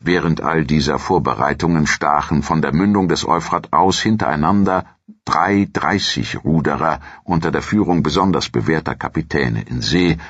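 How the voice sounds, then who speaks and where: Very deep, husky male documentary narrator. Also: a bit bright, no room sound, highs cut off. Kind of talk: scripted historical narration, audio documentary.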